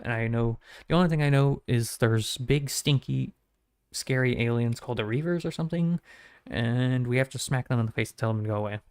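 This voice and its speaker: A man streaming games from home who talks in a flat, rambling way and often corrects himself. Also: medium pitch, clean tone, no echo. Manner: monotone, rambling, self-correcting. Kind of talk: casual stream sign-off chat.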